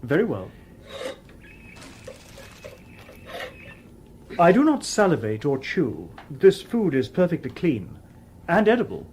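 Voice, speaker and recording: An actor playing a humanlike robot detective in a radio drama, calm, literal and dutiful, with formal, precise, measured wording. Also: male voice, a little high, slightly rough, full character voice. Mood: polite, composed. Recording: noisy old radio drama soundtrack.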